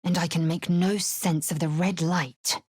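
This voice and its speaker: A woman voicing a fierce, proud githyanki warrior in a video game, imperious and clipped in manner, with a husky, breathy voice. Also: lowish voice, fairly even intonation, unhurried, a little mellow, dry recording, studio quality. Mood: wary, blunt.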